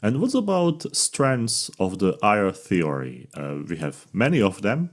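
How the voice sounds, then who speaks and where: Brief: male host, lowish voice, lively intonation, inquiring. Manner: inquiring, slightly halting phrasing. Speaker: podcast host, interviewer. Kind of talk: interview questions.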